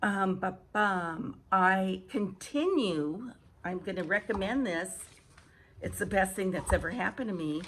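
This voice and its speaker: A woman vlogger with a hoarse early-morning voice, chatting casually and haltingly to viewers as she repeats and restarts phrases. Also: lowish voice. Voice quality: husky, hoarse. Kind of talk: casual rambling chat to viewers. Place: outdoor home patio.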